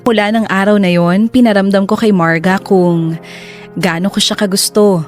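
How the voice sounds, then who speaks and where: A woman's voice: mid-range voice, steady tone, moderate pace. A woman reading a scripted first-person story as the narrator of a radio drama. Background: soft music.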